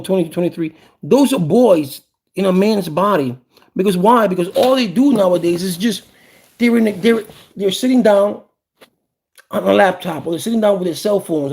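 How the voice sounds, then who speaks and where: A man of about fifty with a gravelly voice, talking casually and with opinions, often repeating phrases for emphasis. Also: high-pitched, a little mellow. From South Bronx, New York, USA. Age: around 50. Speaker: host.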